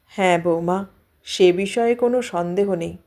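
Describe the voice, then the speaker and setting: A woman voicing a kindly, measured older man who gives fatherly advice in an audio story. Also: on the low side, darkish tone, natural voice. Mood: kindly, sober.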